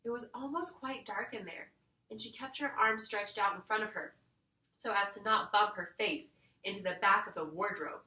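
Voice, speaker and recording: A woman reading a children's story aloud in an expressive storytelling style. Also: mid-range voice, moderate pace. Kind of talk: expressive scripted storybook narration. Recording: roomy echo, distant mic.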